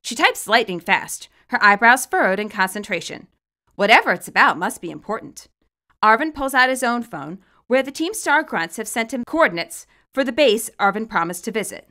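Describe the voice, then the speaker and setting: A woman narrates fan fiction from a script in a high, bright voice. Her delivery is expressive, with lively swings in pitch. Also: moderate pace. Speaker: author reading own fan fiction.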